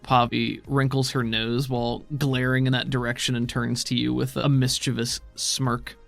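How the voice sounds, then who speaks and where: A man's voice: medium pitch, level tone, normal speed, vocal fry, a bit bright, faint music behind. A man working as game master, improvising narration for a tabletop role-playing game.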